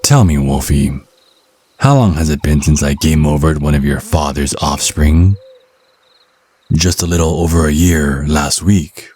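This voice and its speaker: Casting: male actor for a smug, mocking vampire hunter in ASMR audio drama, bottomless low register, unhurried delivery. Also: a little mellow, slight background noise. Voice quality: husky, very deep. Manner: sardonic, mocking, slow deliberate phrasing. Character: cocky, taunting male vampire hunter, youthful but over a century old. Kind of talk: ASMR roleplay monologue.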